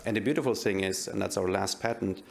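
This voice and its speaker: A man's voice: fairly low pitch, fast, vocal fry, slight background noise. A man with a German accent introducing himself as a tech founder on a conference panel, casual and full of fillers. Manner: casual, filler-heavy, uses "like" often.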